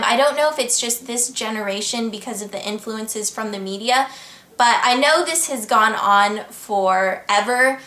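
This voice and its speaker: A woman's voice: a little high, sharp tone, echoey room. A young American woman in her twenties, chatting casually to camera as she answers viewer questions, earnest and upbeat, with frequent laughs. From United States. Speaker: vlogger. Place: at home, indoors.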